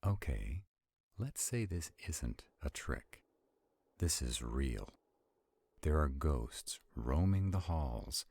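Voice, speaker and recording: A man playing an arrogant, stubborn vampire in a roleplay audio drama, with a deep, gravelly, husky voice and a haughty, deliberate delivery. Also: darkish tone, full character voice. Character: arrogant, stubborn male vampire, irritated and skeptical.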